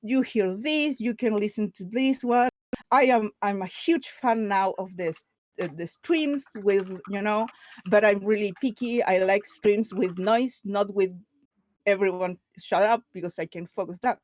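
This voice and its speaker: A woman from Chile with a Spanish accent, speaking English as a second language, moderating a casual panel chat. She talks in quick bursts, laughs often and restarts her sentences. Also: mid-range voice, a little mellow.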